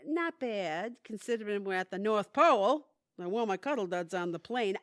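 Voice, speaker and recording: An actress playing a wry, grumbling older woman, sardonic and deadpan, in an audio comedy. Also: mid-range voice, normal speed, dry recording, clean studio sound, close to an everyday voice. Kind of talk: audio theatre comedy acting.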